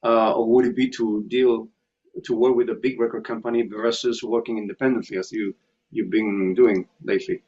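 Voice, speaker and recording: A man's voice: lowish voice, flat delivery, normal speed, darkish tone. A man hosting an interview, asking questions and often murmuring short agreements. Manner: frequent short affirmations, searching phrasing. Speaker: interviewer, host. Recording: gravelly muffled lo-fi audio.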